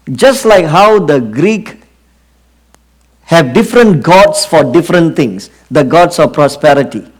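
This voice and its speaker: A middle-aged man from Singapore with a Singaporean accent, preaching an earnest sermon and often pausing on fillers. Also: on the high side. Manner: earnest, drawn-out emphasis with frequent fillers.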